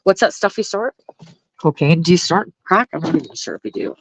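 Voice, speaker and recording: A woman's voice: on the low side, animated, fairly quick, breathy edge, rough recording. Blunt, foul-mouthed woman livestreaming. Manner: blunt, swears constantly, laughs often, talks to chat and dog. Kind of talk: profane casual live-stream rant and chat. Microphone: phone held close.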